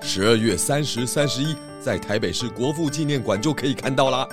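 Man voicing a calm, reasoning pig detective. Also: medium pitch, fairly quick, over music, lightly acted. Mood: teasing, warning. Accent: Taiwanese Mandarin. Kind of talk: children's audio detective drama.

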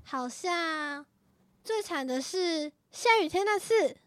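The grown-up older sister who sounds embarrassed as she looks back. Her voice is very high and recorded dry and close. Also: animated, slow, fairly bright tone, no room sound, full character voice. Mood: nostalgic. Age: teen. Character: teenage grown-up sister, reminiscing. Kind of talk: children's radio drama.

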